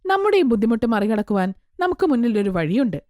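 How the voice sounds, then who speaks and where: A woman's voice: fairly high pitch, sing-song, fairly quick, warm tone, no echo, studio quality. A woman voicing outspoken, questioning young daughters of a goldsmith in a children's story. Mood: urgent, persuasive. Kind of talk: children's story character acting.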